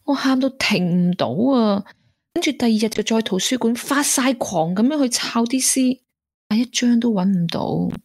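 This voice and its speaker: An actress playing a gentle, grieving young woman weighed down by guilt, in a Hong Kong Cantonese radio drama. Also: a little high, lively intonation, moderate pace, slightly rough, no echo, clean studio sound. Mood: uneasy, confiding.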